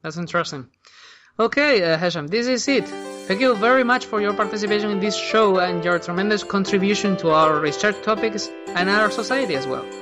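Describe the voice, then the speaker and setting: A man's voice: sits high, brisk, music in the background. A man hosting a podcast, asking questions hesitantly with many fillers and closing the show. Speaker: podcast host. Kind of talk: interview questions and show outro.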